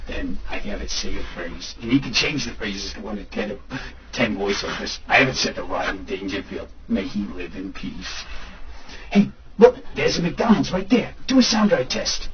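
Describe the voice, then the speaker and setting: An actor playing an excitable, hungover man showing off an app in an amateur audio drama. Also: on the low side, normal speed. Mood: amused. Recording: muffled recording with faint background noise.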